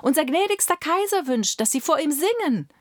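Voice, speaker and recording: A woman voicing a poor little kitchen girl who talks high, soft and earnest. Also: big pitch swings, normal speed, dry recording, clean studio sound. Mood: calling.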